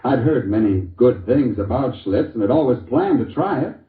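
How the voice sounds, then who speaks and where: An actor playing a genial, wry husband who tells a folksy, hesitant story in an old-time radio commercial. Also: male voice, medium pitch, animated, moderate pace, recorded from a distance, close to an everyday voice. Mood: pleased. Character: genial, wry husband telling beer testimonial. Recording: muffled, echoey old radio recording.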